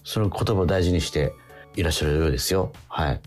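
A man's voice: low-pitched, fast, darkish tone, faint music behind. A man hosting a radio show, interviewing a guest and making announcements in a polite but familiar way. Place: radio studio.

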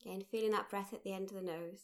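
A woman leading a guided mindfulness meditation, speaking gently in short, unhurried phrases with pauses. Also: mid-range voice, steady tone. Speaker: meditation guide, workshop leader.

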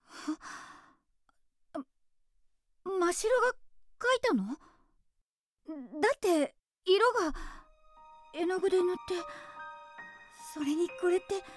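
A frank, loyal teenage girl talks in a high, animated voice that swings widely with feeling. Her delivery is open and plain-spoken, in radio drama style over faint music. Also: slow pace, pauses often, no echo, exaggerated voice. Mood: amazed. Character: frank, warm-hearted teenage girl, loyal best friend. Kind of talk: Japanese radio drama acting. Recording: studio drama with music underneath.

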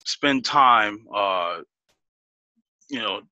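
A man's voice: lowish voice, lively intonation, drawn-out words, pushed and bright, no echo, lo-fi sound. A man as an interview guest, laughing easily and explaining things step by step with many restarts. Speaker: business guest. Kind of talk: casual interview talk.

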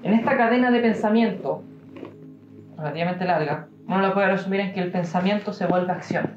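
A young man with a Chilean accent giving an informal devotional talk, musing aloud with pauses and fillers. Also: high-pitched, soft background music. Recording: roomy, dull-sounding room recording.

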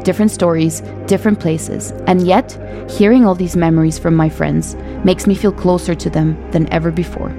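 A woman host narrates from a script, earnest and explanatory, in a slightly lower voice. Music plays clearly underneath her. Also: steady tone, moderate pace, few pauses. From Ukraine. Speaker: podcast host. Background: background music.

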